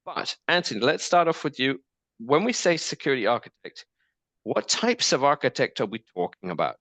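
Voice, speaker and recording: A man's voice: mid-range voice, big pitch swings, moderate pace, a bit bright, no room sound. A man moderating an online panel discussion, upbeat and chatty, with plenty of ums and restarts. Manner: upbeat, filler-heavy, restarts names.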